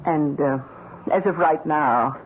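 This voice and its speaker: An actress playing a scheming, coolly menacing housekeeper in an old-time radio drama, speaking with composed, insinuating mock deference. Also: female voice, low voice, slow, a little hiss or hum. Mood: sly, menacing. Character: scheming, coolly menacing female housekeeper blackmailing her employer. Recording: muffled, narrow-band old radio recording.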